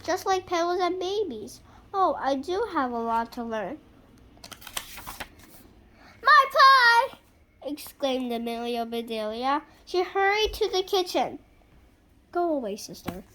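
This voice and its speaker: A young girl reading a picture book aloud in an animated, halting way, stopping to react and ask questions. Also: high-pitched, noisy background. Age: young child. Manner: halting over harder words, interjecting reactions and questions to listeners. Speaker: child reader.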